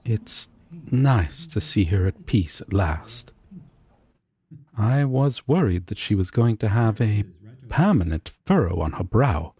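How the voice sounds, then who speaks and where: A man playing an earnest, sentimental angel roommate in an intimate audio roleplay, speaking gently, formally and a little hesitantly. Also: lowish voice, expressive, slow pace. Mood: soft, contrite.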